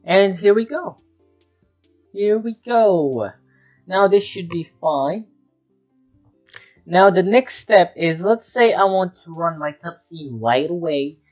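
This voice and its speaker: A man's voice: sits high, lively intonation, slow, pauses often, a little mellow, soft background music. A man giving an unscripted screen-share tutorial, talking through each step as he works and often repeating himself. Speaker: tutorial presenter.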